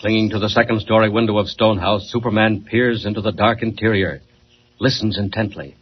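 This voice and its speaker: A man's voice: lowish voice, fairly even intonation, fairly quick. An American man narrating a vintage radio serial as announcer, suspenseful, emphatic and theatrical. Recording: muffled old radio recording, faint noise.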